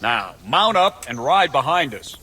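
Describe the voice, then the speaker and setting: An actor playing a stern, disdainful captain of the guard in a medieval video game, curt and commanding. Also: male voice, fairly high pitch, big pitch swings, a little slow, over music.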